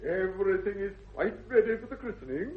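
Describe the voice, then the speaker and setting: A man's voice: high-pitched, expressive, fast. An actor playing a mild, unctuous country clergyman with an English accent, pedantic and formal, in a radio comedy. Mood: solemn, obliging. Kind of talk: 1960s British radio comedy acting. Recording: dull vintage radio recording.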